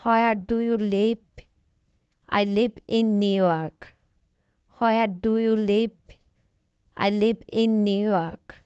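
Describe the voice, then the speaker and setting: A woman reads simple practice dialogues at an extremely slow, deliberate pace. Her voice is smooth and clear, recorded dry and close with no room sound. The audio is slightly dull and lo-fi. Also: medium pitch, fairly even intonation, a little mellow, no echo. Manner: deliberate, evenly paced beginner drill reading. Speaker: English lesson voice. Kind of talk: slow scripted conversation drill.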